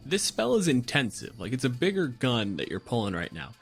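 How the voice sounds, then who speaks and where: A man's voice: mid-range voice, wildly swooping pitch, fairly quick, slightly rough, soft background music. A man acting as game master, casually giving rulings and describing scenes for a tabletop game.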